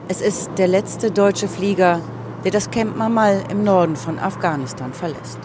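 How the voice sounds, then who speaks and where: A reporter calmly narrating a documentary news piece. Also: medium pitch, normal speed, noisy background.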